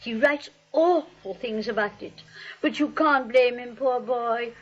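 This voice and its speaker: A woman's voice: a little high, measured pace. A woman playing a sweet, chatty elderly spinster aunt in a comic radio play, gushing and politely hospitable. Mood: earnest, fussing. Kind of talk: radio play comedy acting. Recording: dull lo-fi radio broadcast.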